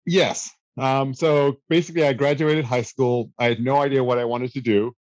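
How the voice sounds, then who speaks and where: A man in his thirties chats about his own past with a loose, self-deprecating ease, sprinkling his answers with fillers and small restarts. His voice sits at an ordinary middle pitch, recorded close in a dry room. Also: moderate pace, no room sound, poor audio. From South Africa. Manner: relaxed, self-deprecating storyteller with many fillers. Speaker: guest. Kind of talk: casual interview answers.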